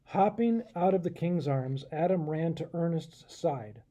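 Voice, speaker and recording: A man reads and explains a children's story like a pastor at storytime. His voice is mellow and husky, and his pitch moves a great deal as he addresses young listeners. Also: a little slow. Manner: animated, asks the listeners questions. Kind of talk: expressive children's storybook read-aloud.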